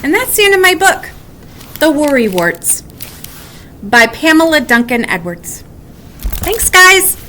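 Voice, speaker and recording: A woman reading a children's bedtime story aloud in an animated way with dramatic emphasis. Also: high voice, a little slow, long pauses, a little hiss or hum. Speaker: story reader.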